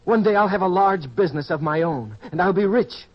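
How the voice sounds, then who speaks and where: An actor playing an ambitious, determined young farmer's son in an old-time radio drama, earnest and insistent. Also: male voice, sits high, lively intonation, fairly quick. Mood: eager, hopeful. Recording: dull lo-fi radio recording.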